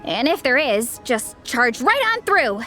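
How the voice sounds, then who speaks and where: A woman's voice: high voice, big pitch swings, unhurried, over music. A woman voicing a bold, encouraging young teammate in a video game, earnest and rallying. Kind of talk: scripted game dialogue.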